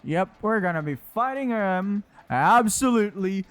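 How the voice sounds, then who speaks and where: A man's voice: high voice, expressive, moderate pace, fairly bright tone. A man giving casual commentary as he streams himself playing a video game. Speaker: gameplay streamer. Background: game music.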